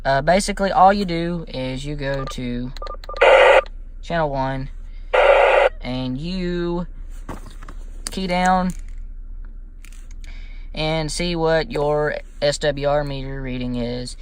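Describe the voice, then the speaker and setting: A man with a Southern US accent talks slowly through an informal hands-on tutorial. His manner is folksy and rambling, like a homemade how-to host. He is recorded inside a vehicle, with faint noise behind his voice. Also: fairly high pitch.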